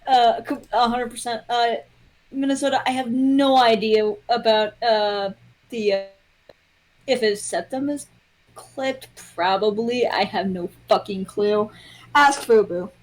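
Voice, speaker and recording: A woman's voice: on the high side, fairly even intonation, unhurried, low-quality recording. A woman chatting casually as a guest on a livestream, blunt and teasing, with some swearing. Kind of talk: casual chat. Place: online voice call.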